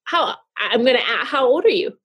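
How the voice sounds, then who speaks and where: A bubbly woman hosts a podcast with a high, bright voice. She rambles and giggles through her questions in a loose, casual way. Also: big pitch swings, a little fast, slightly rough, dull audio. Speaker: podcast host. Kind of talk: casual interview chat.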